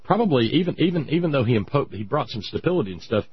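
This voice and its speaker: A man hosting a talk show, giving sarcastic, rambling political commentary in a husky voice. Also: medium pitch, very animated, fast, lo-fi sound. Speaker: radio show host.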